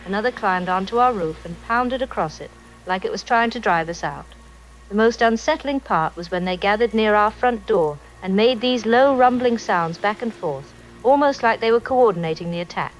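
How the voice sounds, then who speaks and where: A woman's voice: medium pitch, lively intonation, moderate pace, highs cut off, a little hiss or hum, close to an everyday voice. An actress playing a shaken townswoman giving an earnest eyewitness account on a radio call-in. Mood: unsettled. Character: shaken female townsperson phoning in about an attack.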